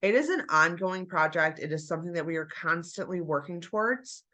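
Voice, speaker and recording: A woman vlogger with a bright, forward tone sitting a little low in pitch. She talks casually and warmly to her viewers, encouraging them along. A stuffy nose from a recent flu colors her voice. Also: animated, a little fast. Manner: chatty, encouraging, addresses viewers as you guys. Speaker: homemaking vlogger. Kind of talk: casual chat to camera.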